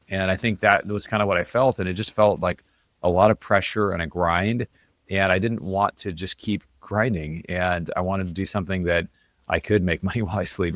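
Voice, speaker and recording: Fast-talking man with a very low voice. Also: dry recording, clean studio sound. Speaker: interview guest, investor and author. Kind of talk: conversational interview storytelling.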